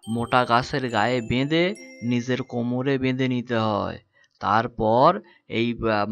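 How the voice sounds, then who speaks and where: A man's voice: medium pitch, fairly bright tone, dry recording. A man playing a bumbling, good-hearted boatman in a cartoon story, explaining things earnestly. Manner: explanatory, stumbling over words. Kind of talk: Bengali cartoon story dubbing.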